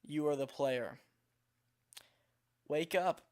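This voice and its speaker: A man with a slightly hoarse, worn-out voice, reading a game text aloud in a calm, measured way. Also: a little high. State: slightly hoarse, voice nearly gone. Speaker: video creator.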